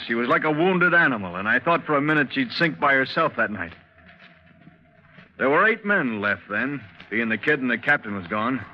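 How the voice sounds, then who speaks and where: A man plays a determined, haunted sailor in an old-time radio play. He speaks in a medium-pitched voice with earnest, vivid drama, on a dull vintage recording. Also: natural voice. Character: haunted, determined young seaman narrating his mutiny. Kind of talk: 1940s radio acting.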